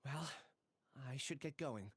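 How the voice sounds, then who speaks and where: An actor playing a gentle, remorseful young male scholar in a video game, wistful and confessional. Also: mid-range voice, slow pace, background noise, natural voice. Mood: resigned. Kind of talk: video game character acting.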